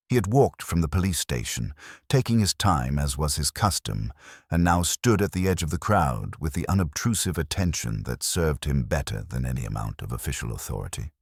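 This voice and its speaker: A man tells a mystery tale in an extremely deep voice. His delivery is measured and dryly ironic, yet lively in its melody. The sound is close and dry. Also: no echo. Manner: measured, literary storytelling with dry irony. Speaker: audiobook narrator. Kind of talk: scripted narration of a mystery story.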